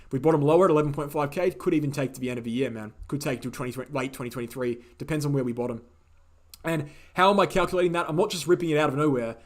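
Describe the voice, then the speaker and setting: A man hosting a live question-and-answer stream, speaking in a casual, opinionated way, repeating key phrases for emphasis, his voice slightly croaky. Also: mid-range voice. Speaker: host answering viewer questions. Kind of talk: casual livestream Q&A.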